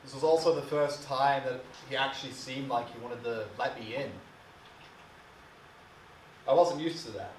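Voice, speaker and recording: Male actor as bitter, wounded adult son: brooding dramatic monologue, halting and emotional, echoey, away from the mic. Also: mid-range voice, fairly even intonation, natural voice. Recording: distant mic, echoey room, faint hiss.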